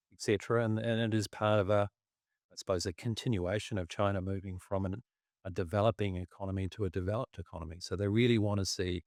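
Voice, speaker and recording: Husky-voiced New man, dry close mic. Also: low voice, a little mellow, no room sound. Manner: halting, frequent throat-clearing. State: losing his voice. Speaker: investment commentator. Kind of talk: casual market discussion.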